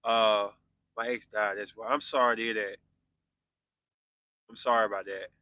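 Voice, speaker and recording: Bright-voiced man in his forties, chatting. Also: lowish voice, steady tone, normal speed, no room sound. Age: 40s. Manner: loose and conversational, answering viewer comments. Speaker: podcast host. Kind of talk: casual live-stream chat with viewers.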